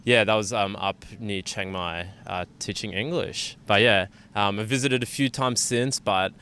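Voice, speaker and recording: A man's voice: lowish voice, fairly even intonation, unhurried, pushed and bright, slight background noise. A man answering a street interview with a hesitant, filler-heavy manner. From Australia.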